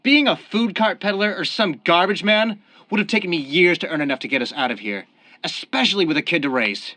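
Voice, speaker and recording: A man's voice: high voice, lively intonation, brisk, bright, forceful tone, no room sound. A man playing a desperate young family man turned mob killer in a radio crime drama, earnest and defensive. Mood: desperate, heated. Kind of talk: old-time radio crime drama acting.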